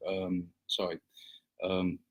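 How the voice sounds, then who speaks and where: A man as a guest on a casual interview, talking in a hesitant way with frequent pauses. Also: low-pitched, monotone, a little mellow, husky. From England. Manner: hesitant, many fillers and restarts. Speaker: guest, small business owner.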